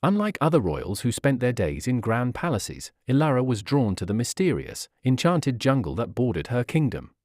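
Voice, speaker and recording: Animated male fairy tale narrator. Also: mid-range voice, moderate pace, few pauses, dry recording, studio quality.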